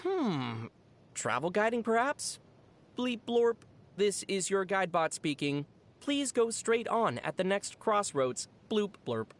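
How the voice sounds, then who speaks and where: An actor playing a young traveler in a video game, speaking in a measured, thoughtful, dry and gently teasing way. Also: mid-range voice, sing-song. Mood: playful. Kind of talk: scripted video game dialogue.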